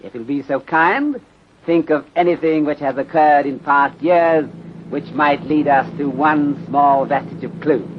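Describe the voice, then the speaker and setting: A patient, courteous police detective played by a male actor in a 1930s radio mystery. He speaks in formal, measured sentences that leave out small words, in a fairly high male voice. The recording is muffled with some background noise. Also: natural voice. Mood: courteous, considerate. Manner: formal, measured, drops articles. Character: polite, patient Chinese-born police detective from Honolulu.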